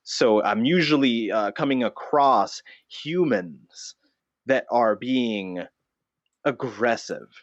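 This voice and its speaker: A man's voice: medium pitch, slow pace, no echo, highs cut off, lightly acted. A man playing a self-serious, defensive vigilante notebook who dresses as a bat, in an improvised comedy interview. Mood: solemn. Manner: solemn, defensive, callously self-justifying.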